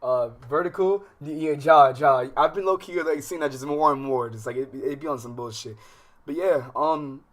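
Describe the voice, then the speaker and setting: A man chatting casually as a livestream host, animated, with slangy, profane speech and rambling unfinished thoughts. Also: fairly high pitch, moderate pace. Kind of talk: casual livestream chat, reacting and addressing viewers.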